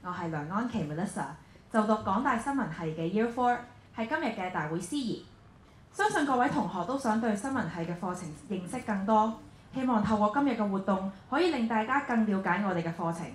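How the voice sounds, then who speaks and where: A woman's voice: mid-range voice, lively intonation, brisk, a little hiss or hum. Young Hong Kong woman hosting formally. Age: 20s. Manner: formal, reading a prepared script. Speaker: student emcee. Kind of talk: scripted event hosting. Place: large lecture hall. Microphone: handheld mic, filmed from the back. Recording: roomy hall sound.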